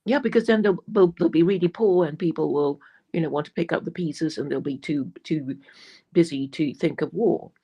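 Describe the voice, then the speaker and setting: A woman's voice: sits low, normal speed, warm tone, breathy edge. A woman from England, a guest in a live online discussion, speaking hesitantly and repeating words.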